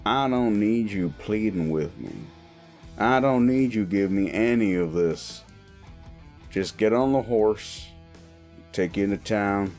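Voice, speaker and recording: Man playing a slow, gruff cowboy bounty hunter. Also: on the low side, a little mellow. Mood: impatient, firm. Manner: folksy drawling, threatening yet wry. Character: gruff, world-weary male Western bounty hunter cowboy. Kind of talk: improvised Western audio roleplay. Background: guitar music.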